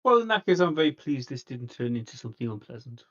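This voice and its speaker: Through a slightly muffled mic comes a diplomatic vampire played by a man at a roleplay table. His mid-pitched voice is polite and conciliatory, feeling its way haltingly through the terms. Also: sing-song, a bit bright. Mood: calm, conciliatory. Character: reasonable, diplomatic vampire coterie negotiator.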